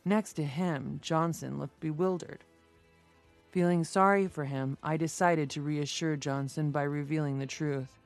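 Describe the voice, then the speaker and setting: A woman's voice: low-pitched, fairly even intonation, unhurried, darkish tone, soft background music. A woman narrating a scripted story.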